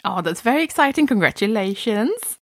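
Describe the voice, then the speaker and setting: A woman's voice: mid-range voice, big pitch swings, normal speed, a bit bright, dry recording. A woman podcast host introducing a segment and asking interview questions in a friendly, encouraging way.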